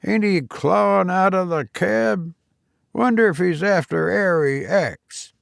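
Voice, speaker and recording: Stout old gossiping cabman for a story male reader, raised pitch, slangy and amused. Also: slow pace, vocal fry, no echo, clean studio sound, full character voice. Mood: excited gossip. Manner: colloquial dropped-g street speech.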